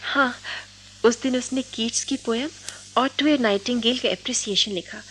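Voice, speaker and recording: Woman playing a shy, lovestruck college girl, high-voiced. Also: normal speed, slight background noise, lightly acted. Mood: shy, flustered. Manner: coy, hesitant, emotional. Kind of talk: Hindi radio drama acting.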